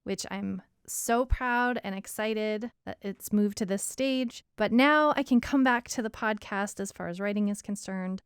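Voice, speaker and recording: A woman's voice: medium pitch, a little slow, few pauses, smooth, no room sound, clean studio sound. A woman talking casually and earnestly as a podcast co-host, reflecting on creative work. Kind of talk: casual co-hosted podcast discussion.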